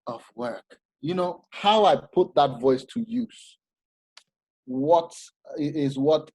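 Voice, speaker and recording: Husky-voiced man teaching. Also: medium pitch, dry recording, low-quality recording. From Nigeria. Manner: animated, explanatory, with frequent restarts. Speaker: masterclass speaker. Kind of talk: informal talk at a masterclass.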